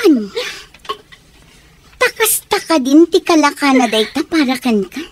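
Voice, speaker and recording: A Filipino actress playing a concerned, exasperated woman friend in a radio soap, expressive and full of questions, with a Filipino accent. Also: high voice, unhurried, slight background noise. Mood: shocked, exasperated.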